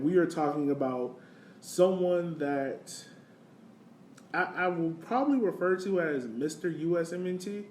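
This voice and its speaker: Roomy, mellow-voiced male podcast host. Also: a little high. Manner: informal, conversational, says "man" and "like". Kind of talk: casual conversational sports podcast talk. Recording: roomy, distant mic, faint noise.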